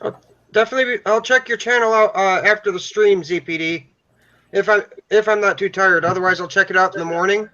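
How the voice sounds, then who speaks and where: A man's voice: sits high, a little fast, pushed and bright. A man with a Michigan accent shifting toward the Upper Peninsula, chatting casually on a livestream call. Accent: Michigan, turning Upper Peninsula. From downstate Michigan. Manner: chatty, repeats words. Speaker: livestream guest. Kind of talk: casual chat. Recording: dull lo-fi stream audio.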